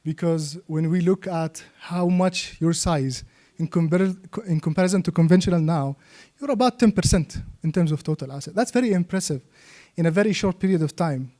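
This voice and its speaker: A man's voice: fairly high pitch, a little fast, warm tone. A man from Yemen explains material as a seminar trainer, often checking understanding with short tag questions. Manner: checks understanding with frequent "okay?" and "right?". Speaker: trainer and Islamic finance expert.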